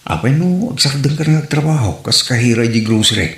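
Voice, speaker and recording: A man's voice: medium pitch, expressive, fairly quick, natural voice. A man with an Ilocano accent playing a devoted, needy husband in an audio comedy drama. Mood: coaxing. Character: devoted, needy husband coaxing his working wife. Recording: roomy echo, faint background noise.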